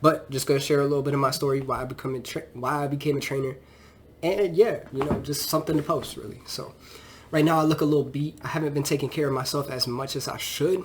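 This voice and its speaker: A man's voice: mid-range voice, steady tone, quick pace, a little hiss or hum. A man in his twenties, a fitness trainer, talking casually and rambling in a vlog with a stuffy nose from a cold, full of loose fillers. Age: 20s. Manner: loose and chatty, with many fillers like "you know".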